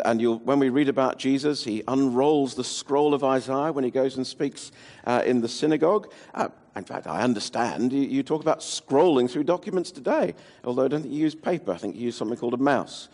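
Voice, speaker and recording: A man's voice: medium pitch, darkish tone, lightly acted. A man playing an ancient Greek physician and gospel writer, chatting genially and playfully in a hesitant, interview style. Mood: wry, playful. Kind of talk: in-character interview for a church audience. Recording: echoey hall with long reverb, slightly muddy.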